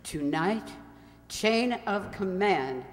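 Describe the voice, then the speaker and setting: A man's voice: sits high, lively intonation, drawn-out words, soft background music, full character voice. A man playing a portentous, formal announcer of an old-time science fiction radio series. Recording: reverberant hall.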